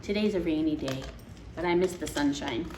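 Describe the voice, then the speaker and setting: A woman reading a picture book aloud to children in an expressive, deliberate way, often turning to her listeners with questions. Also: low-pitched. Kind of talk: read-aloud storytelling with asides to child listeners. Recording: echoey room with faint background noise.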